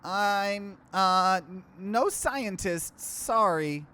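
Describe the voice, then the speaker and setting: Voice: medium pitch, expressive, extremely slow, bright, forceful tone, clear, resonant tone, full character voice. A game streamer voicing a timid, earnest, bookish young man who stammers and hesitates. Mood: apologetic.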